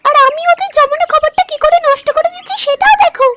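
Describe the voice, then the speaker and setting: A woman's voice: extremely high pitch, quick pace, pushed and bright. A woman voicing a playful, mischievous young crow chick in a cartoon, childlike and eager. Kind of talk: cartoon story voice acting.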